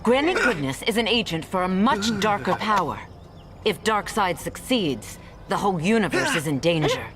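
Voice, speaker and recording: A woman's voice: mid-range voice, very animated, normal speed, background noise, lightly acted. A woman playing a noble, composed warrior superhero in a cartoon video game, firm and earnest. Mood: firm, commanding.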